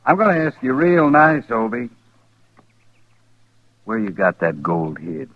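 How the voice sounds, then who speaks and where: An actor playing a cold, threatening Western outlaw leader with a rural American accent in an old radio western. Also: male voice, mid-range voice, sing-song, measured pace, dry recording. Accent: rural American West. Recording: dry, muffled old radio recording.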